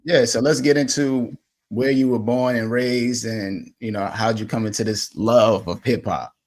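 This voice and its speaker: A man's voice: mid-range voice, measured pace, darkish tone, slight background noise. A man hosting a casual podcast interview, affirming his guest and speaking with frequent fillers. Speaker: podcast host.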